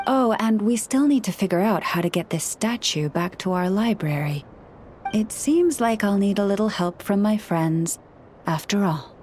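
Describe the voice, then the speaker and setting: An actress playing a gentle, scholarly young priestess in a video game, speaking in a formal, measured, courteous way. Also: female voice, mid-range voice, animated, slight background noise, natural voice. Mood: calm, reassuring. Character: gentle, scholarly young woman, a nature-loving moon priestess. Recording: music behind the voice. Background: background music.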